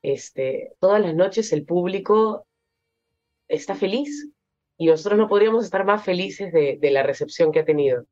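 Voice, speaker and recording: A young Peruvian woman with a Peruvian Spanish accent, an actress being interviewed, speaking thoughtfully with many pauses and fillers. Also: fairly low pitch, darkish tone, highs cut off. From Peru. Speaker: actress guest. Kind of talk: interview conversation.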